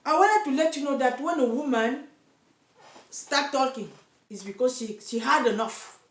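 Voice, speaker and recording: A woman from Cameroon with a Cameroonian accent, speaking straight to camera as an activist for single mothers, earnest and insistent, stumbling and repeating words as she searches for English. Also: high voice. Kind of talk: direct-to-camera vlog. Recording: echoey room.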